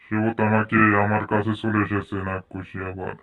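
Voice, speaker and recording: A man's voice: fairly low pitch, flat delivery, normal speed, phone-quality sound, natural voice. A man voicing a frightened cartoon man who pleads repetitively to get away from a demon. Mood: anxious pleading. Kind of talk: improvised cartoon voice-over.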